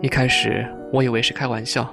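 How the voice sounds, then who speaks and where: A man acting a polite, earnest gentleman confiding awkwardly in an audio drama, in measured dialogue. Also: mid-range voice, flat delivery, gravelly, over music, close to an everyday voice.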